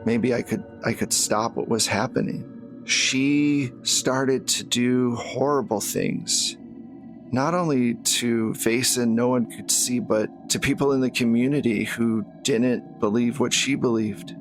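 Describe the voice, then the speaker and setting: A man's voice: medium pitch, lightly acted. Haunted, regretful nun, voiced by a male game master, faltering and unsure, for a tabletop story with background music. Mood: regretful, confessing. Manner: halting, faltering with repeats. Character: confused, regretful nun haunted by shadowy memories.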